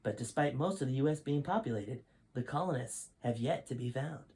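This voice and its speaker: A man's voice: mid-range voice, a little fast, darkish tone, pitched above the performer's own voice, lightly acted. A man reading as a grave five-star general. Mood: lecturing. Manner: grave, lecturing.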